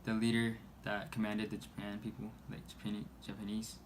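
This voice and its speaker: Monotone man reading haltingly, low voice. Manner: halting, stumbles over words while reading. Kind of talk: scripted news report read aloud. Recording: noisy amateur recording.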